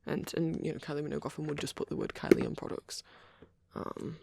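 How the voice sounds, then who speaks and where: A woman playing a shy, nervous vampire boy in a soft-spoken ASMR roleplay, with a hesitant, rambling, stammering delivery and a husky voice. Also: low-pitched, little pitch movement, quick pace, slight background noise. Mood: rambling, absorbed. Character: shy, nervous young male vampire on a date.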